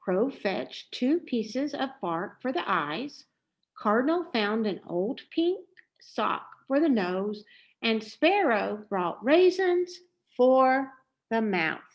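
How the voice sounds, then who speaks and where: A woman reading a children's story aloud in a deliberate, expressive way. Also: on the high side. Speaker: storybook reader.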